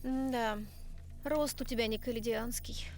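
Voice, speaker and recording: A woman playing a calm, businesslike member of a scientific expedition in an animated film. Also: a little high, measured pace, natural voice. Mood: matter-of-fact. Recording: music behind the voice.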